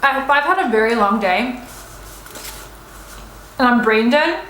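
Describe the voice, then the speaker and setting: A woman's voice: a little high, lively intonation, unhurried, a bit bright, echoey room, slight background noise, low-quality recording. A young woman in her twenties, vlogging a casual mukbang chat in a restaurant, rambling with many fillers and restarts. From United States. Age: 20s. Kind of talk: casual mukbang chat, answering viewer assumptions.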